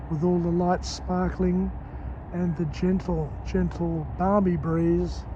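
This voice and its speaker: A man with a hoarse, croaky voice, vlogging on a walk outdoors at dawn and summarising a book slowly in short phrases. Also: sits high. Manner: slow and deliberate, in short phrases. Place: outdoors on a city street at dawn. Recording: muffled outdoor phone audio with traffic.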